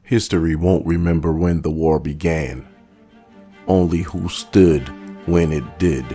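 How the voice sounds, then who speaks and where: A man playing a resolute, measured superhero team leader with a grave, commanding manner in an audio drama. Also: extremely low pitch, steady tone, dark tone, faint music behind.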